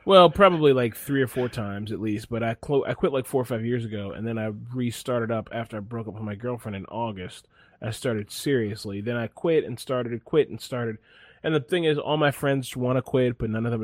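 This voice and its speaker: A man's voice: medium pitch, moderate pace, dry recording. A man chatting casually on a podcast, telling a rambling, laid-back story full of fillers and restarts. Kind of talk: casual chat.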